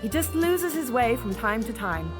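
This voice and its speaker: A firm Victorian lady in an audio drama. Her voice sits a little high, and she speaks with formal, protective authority. Music runs underneath. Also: big pitch swings, normal speed. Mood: exasperated, firm. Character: firm, proper protective Victorian woman, exasperated older sister.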